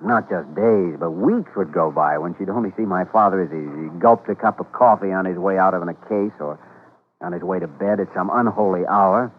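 An actor playing an earnest, easygoing young male doctor in an old-time radio drama, in a gentle conversational manner. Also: deep voice, a little fast, a little hiss or hum, close to an everyday voice. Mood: warm, reflective. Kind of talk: 1940s-50s radio drama acting. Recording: tinny old radio recording, music behind.

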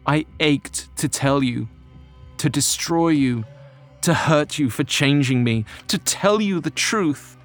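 Casting: male storyteller as a female snow spirit, high-pitched, dramatic, wounded and threatening, music underneath. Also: slow, vocal fry, exaggerated voice. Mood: anguished confession. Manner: impassioned, accusing, dramatic. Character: anguished snow-spirit wife, grieving, vengeful and threatening.

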